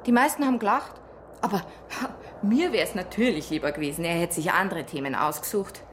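An actress playing a chatty small-town bakery shopkeeper in a radio drama, folksy and gossipy, with a Bavarian accent. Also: female voice, lowish voice, fairly quick, natural voice. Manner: folksy, chatty, gently disapproving. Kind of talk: naturalistic radio drama dialogue. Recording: clear ambient noise behind dialogue.

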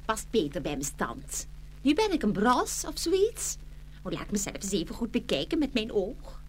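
A woman's voice: medium pitch, normal speed. A woman playing a vain, easily offended darning needle in a children's fairy-tale radio play, with an affected, haughty, fussy manner. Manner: affected, haughty, fussy, full of oohs and ohs. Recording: hum and steady noise.

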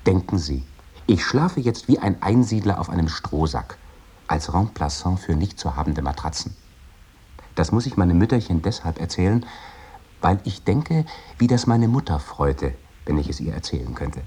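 A male reciter of old memoirs in a radio drama. His voice is deep and rough-grained, with a husky edge. The delivery is measured and reflective, shaped around ornate, old-fashioned literary sentences. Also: expressive, warm tone, full character voice. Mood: fond, reminiscing.

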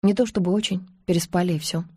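A woman's voice: on the low side, fairly even intonation, fast talker, darkish tone, slightly rough, no room sound, studio quality. A woman voicing a guarded, wronged pregnant village woman with bitter defiance, in an audiobook story. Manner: breaking, breathless outcry when provoked.

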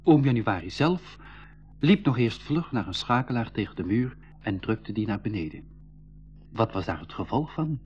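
A man's voice: fairly low pitch, big pitch swings, darkish tone, soft background music. A man playing a sensible, earnest boy who tells his adventure in a 1980s school radio play. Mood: earnest narrating. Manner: measured, earnest storytelling. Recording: dull, band-limited old radio recording.

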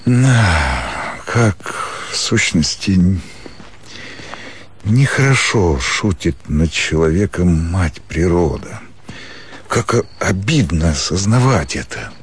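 An actor playing a weary, lovestruck country doctor who muses to himself in broken phrases in a radio play. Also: male voice, low voice, very animated, a little hiss or hum, heavily acted. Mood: wistful musing. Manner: slow musing, broken phrases, talking to himself. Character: weary young country doctor in love, brooding and torn. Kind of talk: radio play inner monologue.

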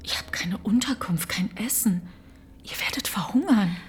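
An actress playing a fearful, doubting, loyal farmer's wife in a radio play, hushed, anxious and sceptical. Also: female voice, medium pitch, lively intonation, moderate pace, in a whisper, lightly acted. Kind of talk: German radio play acting.

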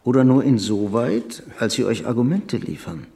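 An actor playing a haughty, cynical aristocratic prince in a radio drama, condescending and sardonic. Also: male voice, medium pitch, lively intonation, moderate pace, vocal fry, dark tone. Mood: scornful, cynical.

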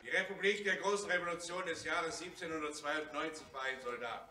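An actor playing a resolute revolutionary delegate in a German radio play, addressing an assembly in a forceful, declamatory way. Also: male voice, a little high, fairly even intonation, fairly quick, close to an everyday voice. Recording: roomy hall, distant, faint noise.

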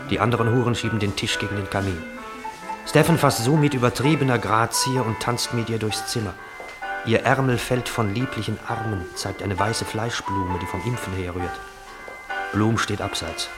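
A man's voice: lowish voice, fairly even intonation, normal speed, close to an everyday voice. A man narrating a literary radio drama as an observant storyteller, in precise, descriptive, clipped phrases. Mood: building intensity. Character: observant narrator of a brothel scene. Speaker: narrator of stage directions. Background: music.

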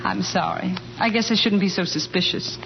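An actress playing a playful, affectionate young wife in a 1940s radio comedy, arch and teasing. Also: female voice, medium pitch, normal speed, gravelly, close to an everyday voice. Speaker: radio drama actor. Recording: muffled, faintly noisy 1940s radio recording.

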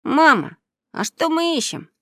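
A woman voicing lively, curious young children in a storybook, with an eager, childlike manner. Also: high voice, a little slow, no echo, studio quality, voice pushed up. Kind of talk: children's audio storybook character voice.

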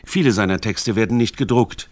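A man's voice: fairly low pitch, brisk, no echo. A man reading scripted narration for a radio documentary. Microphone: close studio microphone.

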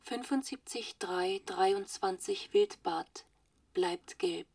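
Slow, breathy, obedient computer assistant. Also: female voice, medium pitch, a little mellow, recorded from a distance, exaggerated voice. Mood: impassive. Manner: slow, measured, impassive announcing. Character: obedient female computer voice assistant reading out status codes. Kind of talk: radio play acting.

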